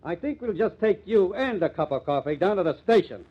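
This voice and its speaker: Brisk radio detective, muffled old recording. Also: male voice, high voice, lively intonation, loud music behind the voice. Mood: probing. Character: brisk, suspicious male police detective. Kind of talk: 1950s radio mystery acting.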